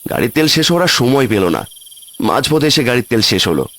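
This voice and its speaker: A man playing an excitable city office worker on a village trip in a cartoon ghost story. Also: mid-range voice, a little fast. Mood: exasperated. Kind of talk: cartoon horror story voice acting.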